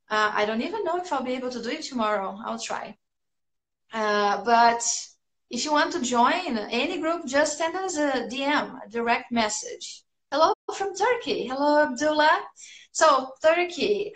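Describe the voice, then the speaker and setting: A woman in her thirties from Brazil, a native Portuguese speaker with a Brazilian accent, chatting casually as an English teacher on a livestream, upbeat and quick to laugh. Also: sits high, a bit bright. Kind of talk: casual livestream chat answering viewers' comments. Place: small room at home, indoors. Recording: echoey small room.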